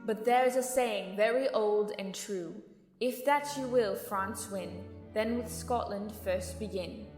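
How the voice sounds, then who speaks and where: A woman plays a noble courtier in a Shakespeare audio drama. Formal, deliberate verse comes at a middle pitch, with heavy echo and music behind. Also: a little mellow, close to an everyday voice. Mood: cautionary. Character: earnest noble courtier counselling a king. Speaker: amateur actor in student audio drama. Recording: reverberant hall, music underneath.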